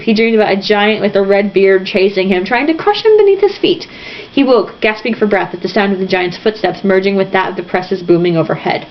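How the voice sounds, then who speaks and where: A woman reading a novel aloud informally, theatrical and irreverent, with asides. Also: mid-range voice. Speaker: reader. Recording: dull lo-fi home recording, faint noise.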